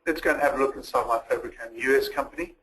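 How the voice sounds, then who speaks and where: A man giving a methodical, step-by-step walkthrough as a software demonstrator in a recorded webinar. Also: mid-range voice, racing, rough recording. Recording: noticeable background noise.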